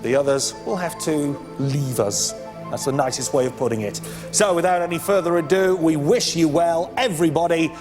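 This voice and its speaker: A man's voice: fairly high pitch, normal speed. A man hosting a TV quiz show in an upbeat, bantering presenter's style. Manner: jocular, bantering with contestants. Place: TV studio with audience. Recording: loud music and audience behind the voice.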